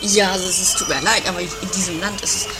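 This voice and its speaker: A woman's voice: on the low side, steady tone, fast, heavy background noise, close to an everyday voice. A woman playing a solemn, easily offended, pompous guru in an amateur 1980s comedy radio play. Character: touchy, solemn guru devoted to his great master.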